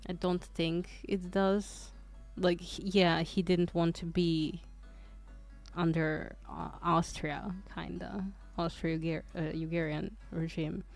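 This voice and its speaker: A woman's voice: on the low side, level tone, slow pace, vocal fry, faint music behind. A woman from Croatia, chatting casually on a livestream, hesitant and rambling with lots of fillers and restarts. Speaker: streamer.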